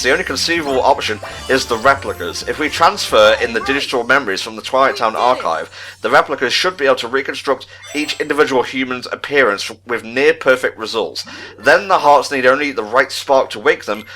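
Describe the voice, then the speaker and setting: A man's voice: mid-range voice, bright, forceful tone, natural voice, rough recording. A man voicing a brooding male scientist in a formal, grave dramatic reading from a video game. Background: game music.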